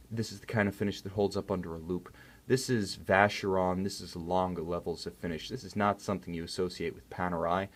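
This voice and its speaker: A man presenting a product review in an articulate, enthusiastic way, with technical detail and emphasis on key words. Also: low voice. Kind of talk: detailed product review commentary, semi-improvised.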